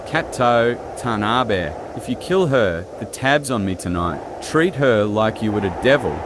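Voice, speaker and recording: A man's voice: lowish voice, animated, measured pace. A man voicing a brash, flirty devil hunter squad leader in a manga fan dub, casual yet commanding. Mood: cocky, commanding. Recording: noisy track with street ambience.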